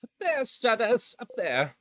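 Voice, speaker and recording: A streamer voicing a playful, teasing star-like creature from a video game, theatrical and cheery, with sing-song, drawn-out words. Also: medium pitch, big pitch swings, fairly bright tone, slight background noise, pitched above the performer's own voice.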